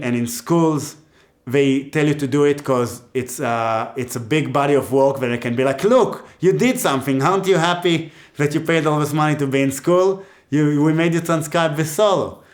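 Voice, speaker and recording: Joking Israeli man giving music advice. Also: on the high side. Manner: chatty and joking, with many asides. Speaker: music teacher. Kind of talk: casual teaching talk to camera.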